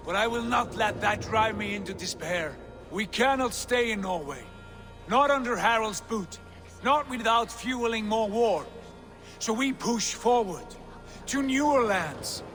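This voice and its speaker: Proud Viking prince, fairly high voice, solemn declamatory delivery, game cutscene with strong music behind. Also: male voice, measured pace, fairly bright tone. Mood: rousing, defiant. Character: proud, ambitious Viking prince rallying his clan. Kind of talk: dramatic game cutscene acting.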